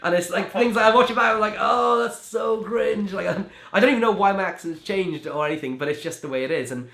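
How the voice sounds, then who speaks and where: A man's voice: sits high, quick pace, vocal fry, a bit bright. A young man from Wales, a magician, answering interview questions in a chatty way, full of "like" and restarts. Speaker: magician and creator. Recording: echoey room.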